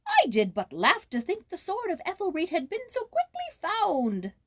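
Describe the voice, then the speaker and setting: A woman's voice: huge pitch swings, unhurried, no room sound, clean studio sound, heavily acted. A woman voicing a grinning fairy-tale court jester in a gleeful, mocking manner. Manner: high, gleeful mockery.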